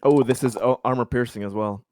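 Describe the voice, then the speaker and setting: A man's voice: mid-range voice, steady tone, a little fast, darkish tone, dry recording, studio quality. A man playing a tabletop game, casually explaining rules in an informal way.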